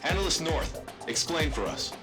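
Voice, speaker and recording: An actor playing a stern, authoritative senior military chief in a game cutscene, speaking in a measured, commanding, accusatory way. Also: male voice, a little high, animated, vocal fry. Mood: grave, commanding. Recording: loud music over the voice.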